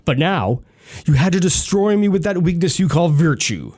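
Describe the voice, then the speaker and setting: An actor playing a tormented, vengeful spurned lover, bitter and mocking, in intense radio melodrama. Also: male voice, fairly high pitch, sing-song, normal speed, a little mellow. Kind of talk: melodramatic old-time radio drama acting.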